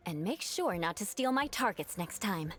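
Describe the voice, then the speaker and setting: A woman voicing a proud, vengeful young woman knight in a game, cold and haughty, with sharp battle cries. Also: medium pitch, big pitch swings, moderate pace, close to an everyday voice. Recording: game audio with music underneath.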